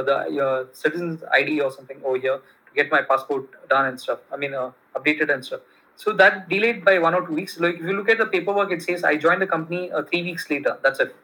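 Bright-voiced Indian man, rambling chat. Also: on the high side, low-quality recording. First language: Kannada. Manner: rambling with many fillers and restarts. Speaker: guest telling a business story. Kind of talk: casual conversation.

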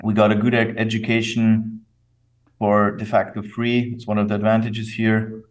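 A young adult man from Austria, bilingual in English and German, answering interview questions in a thoughtful, measured way with fillers and restarts. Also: on the low side, completely flat delivery, far from the mic. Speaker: company co-founder, guest.

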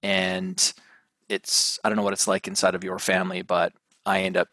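A man's voice: sits low, flat delivery, normal speed, sharp tone, no room sound. A man in his thirties from Canada, a guest talking casually and thinking aloud with many restarts. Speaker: guest, mental performance coach. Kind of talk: casual interview chat.

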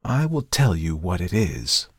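A man's voice: deep voice, sing-song, measured pace, slightly rough, no echo, full character voice. A man voicing a shrewd, mocking male shopkeeper in a storybook reading, with a dry, biting voice and slyly polite manner. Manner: sly, chuckling, formally polite salesman. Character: shrewd, mocking little male antique dealer, impatient. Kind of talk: audiobook character acting.

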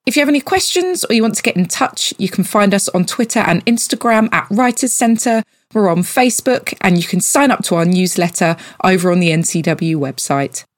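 A woman's voice: medium pitch, dry recording. A woman reading a scripted promotional message as a podcast announcer. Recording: dry studio sound over music. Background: music.

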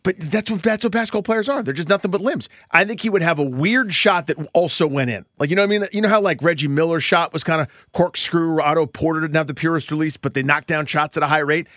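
A man's voice: on the high side, fast talker, hardly any pauses, fairly bright tone, no room sound, clean studio sound. A man co-hosting a sports podcast in casual, joking banter. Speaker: podcast co-host.

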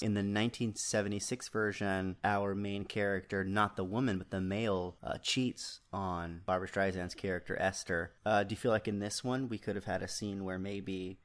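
Animated male podcast co-host. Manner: animated, jokey, pitches movie fixes. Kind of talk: casual film review chat.

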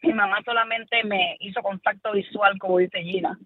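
A Cuban-born woman in her fifties calling in to a radio show, telling a family story in an animated way. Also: medium pitch, moderate pace, a crowd in the background. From Cuba. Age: 50s. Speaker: caller. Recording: phone line.

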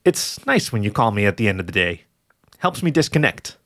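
A man's voice: on the low side, fairly quick, no echo. A man voicing a tired, exasperated male jackal with a dry, sarcastic way of questioning in an audio story. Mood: softened. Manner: weary, deadpan questioning.

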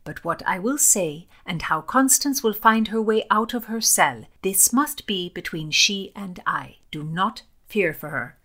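A woman voicing a reserved, authoritative English court lady in a period audiobook, formal and measured. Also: mid-range voice, expressive, bright, forceful tone, pitched above the performer's own voice. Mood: firm.

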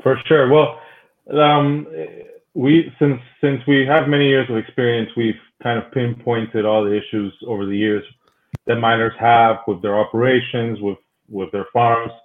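A man's voice: medium pitch, flat delivery, measured pace. A man whose first language is Spanish, talking in an easygoing way as a guest in a casual podcast interview. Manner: talkative, easygoing. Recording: tinny phone-like line.

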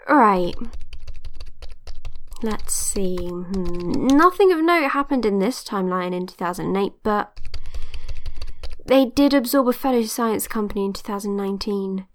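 A woman's voice: mid-range voice, expressive, a little slow, pauses often, lightly acted. A woman playing a supportive, teasing tech expert in a naturalistic audio drama, with a casual, wry way of talking. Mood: focused, wry.

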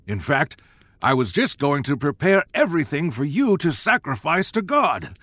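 A man's voice: a little high, very animated, moderate pace, no room sound, pitched above the performer's own voice. A man voicing a proud, blustering, insecure ancient king who swings between pride and pleading. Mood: nervous. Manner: loud and wavering, shifting between pride and pleading. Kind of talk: storybook drama reading.

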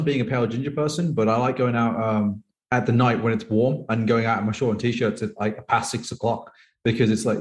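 A man in his thirties from the UK, a poker player being interviewed who chats casually and rambles with lots of "like". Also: medium pitch. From United Kingdom. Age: 30s. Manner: rambling, heavy use of "like". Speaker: professional poker player guest. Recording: echoey room.

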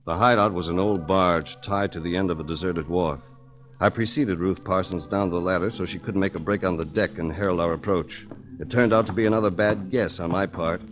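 A male actor as a hard-boiled American investigator with a very low voice. He tells his own story with dry, wry drama, in the manner of 1940s radio, over faint music. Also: fairly even intonation, a little fast, muffled recording, natural voice. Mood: wry, dramatic. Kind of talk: 1940s radio detective drama acting and narration.

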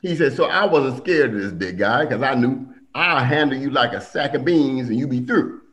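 A middle-aged man telling family stories in a casual conversation, animated and acting out quotes. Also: normal speed, a little hiss or hum. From Milwaukee. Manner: animated storyteller, acts out quotes with big pitch jumps.

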